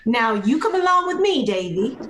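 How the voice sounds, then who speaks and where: A woman's voice: on the high side, big pitch swings, normal speed, fairly bright tone, roomy sound, voice pushed down. Woman playing a sweetly coaxing village woman. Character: folksy village woman, coaxing small children along, cheerful. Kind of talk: amateur radio play acting.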